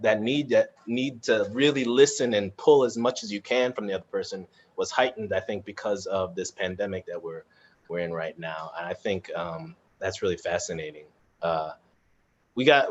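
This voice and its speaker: A man with a fairly low voice hosts a casual Q&A. He searches for words as he talks and puts questions to the group. The sound is dull and lo-fi, like an online call. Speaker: moderator of a cast Q&A. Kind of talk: casual panel talk, reading audience questions. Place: remote live video chat.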